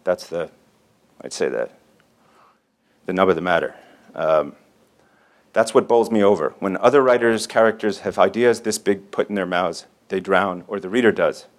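A man reading a formal, erudite introduction as an event host, with deliberate phrasing. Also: low-pitched. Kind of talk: scripted literary introduction read aloud.

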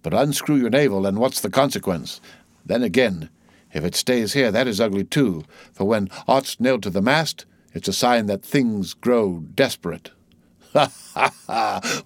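A man's voice: mid-range voice, expressive, moderate pace, dry recording. Guy doing a wry old sailor from a storybook, muttering theatrical asides, dry close sound. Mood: uneasy.

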